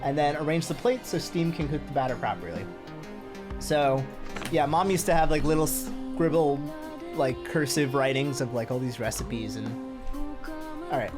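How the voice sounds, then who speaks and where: A woman's voice: low-pitched, normal speed. A woman whose first language is Telugu, streaming a game and chatting casually as she explains dishes to viewers. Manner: chatty, explaining, with fillers and laughs. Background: game music.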